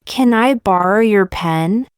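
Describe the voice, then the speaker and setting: Slow, clear woman reading practice sentences. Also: medium pitch, no room sound, studio quality. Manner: slow, careful, each sentence said twice. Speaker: English teacher.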